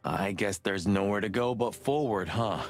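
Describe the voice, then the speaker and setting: An actor playing a talkative, sardonic rooster cop sidekick in a film-noir adventure game. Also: male voice, lowish voice, normal speed, music in the background, close to an everyday voice. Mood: confessional.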